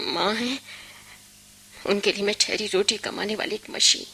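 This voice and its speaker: A woman's voice: medium pitch, measured pace, pushed and bright, breathy edge, heavily acted. An actress playing an embittered, dutiful young working woman in a Hindi radio play, speaking with earnest, emotional delivery. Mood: bitter, weary.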